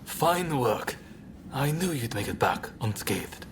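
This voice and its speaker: An actor playing a serious, shrewd and wary rebel leader in a video game, speaking gravely and deliberately. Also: male voice, medium pitch, big pitch swings, a little hiss or hum. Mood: approving, shrewd. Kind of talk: dramatic video game cutscene acting.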